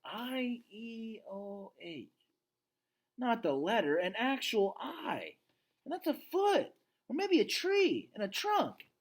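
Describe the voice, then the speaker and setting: A man's voice: extremely high pitch, big pitch swings, slow, pitched above the performer's own voice, exaggerated voice. A man voicing an earnest, observant young girl in a storybook, full of urgent wonder. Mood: excited wonder.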